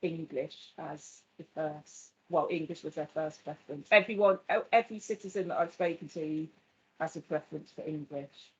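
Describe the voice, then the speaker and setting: A woman's voice: deep voice, normal speed, breathy edge. A woman researcher answering a question about her interviews on a work video call, in short hesitant replies. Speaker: researcher who interviewed citizens. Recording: dull lo-fi video call audio.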